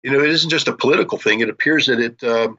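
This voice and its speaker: Man, American accent, middle-aged or older, bright forceful voice, deliberate explanatory commentary, slightly lo-fi sound. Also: mid-range voice. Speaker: interviewer and military commentator. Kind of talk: discursive geopolitical discussion.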